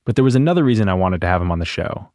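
A man's voice: deep voice, lively intonation, very fast, darkish tone, dry recording. A man with an American accent, a podcast host reading a scripted introduction to an interview. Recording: dry studio, faint music behind.

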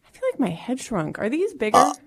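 A woman's voice: on the high side, fairly quick, breathy edge. A woman comedian chats casually as a guest, her voice stuffy and nasal from the flu. She talks with plenty of inflection and jokes freely, and she acts out little quoted voices. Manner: expressive, joking, acts out quotes. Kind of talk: casual chat with a friend.

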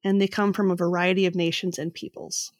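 A woman in her thirties co-hosting a casual podcast, reading her notes and chatting, with a slightly raspy voice. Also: fairly low pitch, flat delivery, fairly quick, no room sound, clean studio sound. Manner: reads notes aloud, says her voice is getting raspy. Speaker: podcast co-host.

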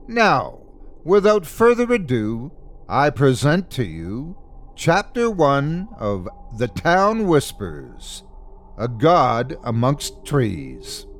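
A man hosting a horror podcast, reading a scripted introduction with theatrical, deliberate emphasis. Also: medium pitch. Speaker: podcast host.

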